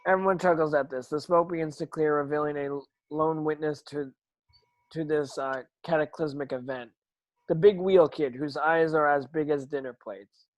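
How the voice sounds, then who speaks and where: Higher-voiced man, halting script narrator. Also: steady tone, no room sound, rough recording. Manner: halting, stumbling over words. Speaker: stage directions reader. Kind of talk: scripted narration at amateur table read.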